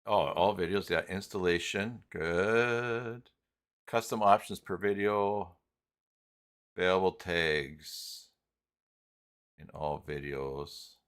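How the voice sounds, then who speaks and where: A man hosting a casual live software tutorial, talking haltingly and muttering to himself as he works through things on screen. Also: low-pitched, smooth. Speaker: live stream host, software tutorial presenter. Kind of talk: casual unscripted screen-share walkthrough.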